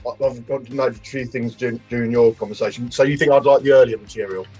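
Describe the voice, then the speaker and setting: A man's voice: mid-range voice, fairly even intonation, fast, dark tone, breathy edge. A man co-hosting a casual music discussion in a sceptical, hesitant way. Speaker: music reaction show co-host.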